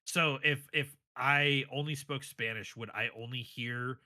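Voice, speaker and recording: A man's voice: medium pitch, fairly even intonation, unhurried, sharp tone. A man co-hosting a casual podcast, curious and asking questions, laughing into his sentences. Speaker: podcast co-host.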